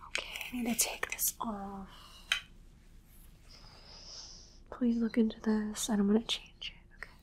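A woman voicing a condescending female alien doctor in a soft whisper, giving matter-of-fact instructions in an ASMR exam roleplay. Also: a little high, level tone, fairly quick, background noise, close to an everyday voice. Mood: clinical, insistent. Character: female alien doctor, clinical, condescending, studying human captive.

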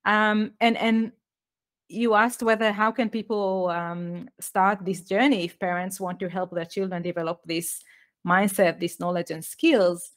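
A woman's voice: mid-range voice, level tone, unhurried. A middle-aged woman from Israel with an Israeli accent, a guest on a podcast interview, explaining her ideas earnestly with light laughs. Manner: earnest, explanatory, with light laughs and slightly non-native phrasing. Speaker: guest, education author.

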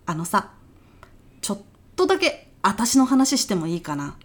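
A woman's voice: medium pitch, very animated, unhurried. A woman playing a brash, chatty former gal in her early thirties who sells real estate, talking in casual slang in a comedy radio drama. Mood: chatty, friendly. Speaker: actor in an audio comedy sketch.

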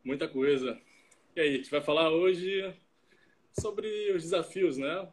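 A man in his late twenties from Salvador, Brazil, co-hosting a casual live stream and talking with a video-call mic, relaxed and full of fillers. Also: on the high side, measured pace. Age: 20s. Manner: relaxed, chatty, many fillers and tag questions.

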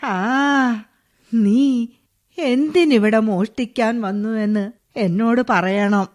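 A woman's voice: a little high, slow, a little mellow. A woman acting a bedridden old widow in a Malayalam radio drama, with a Kerala accent, sighing between phrases, nostalgic and firm yet motherly. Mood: stern.

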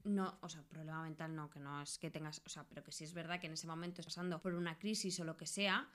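A woman's voice: sits low, steady tone, quick pace, fairly bright tone. Frank Spanish woman chatting on a podcast. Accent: Spanish from Spain. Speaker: podcast co-host. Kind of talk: casual conversational podcast talk.

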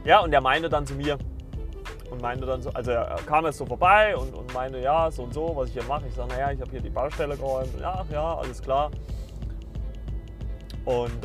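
A man in his thirties from Saxony, hosting a podcast in a casual, meandering way full of filler words and restarts. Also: medium pitch. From Saxony, Germany. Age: 30s. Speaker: podcast host. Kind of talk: casual rambling monologue.

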